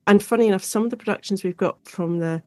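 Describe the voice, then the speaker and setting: A woman with an English accent speaks as an interview guest, explaining her specialism in a chatty, animated way. Her pitch sits in the middle for a woman, and the sound is dry and close. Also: fast, no echo. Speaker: guest academic expert.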